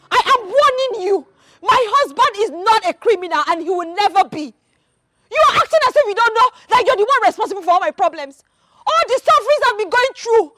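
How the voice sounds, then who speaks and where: Shrill, fiercely indignant Nigerian wife. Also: female voice, fairly bright tone. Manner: scolding, repetitive, emphatic. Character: fiercely loyal, indignant wife defending her husband. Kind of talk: melodramatic film acting.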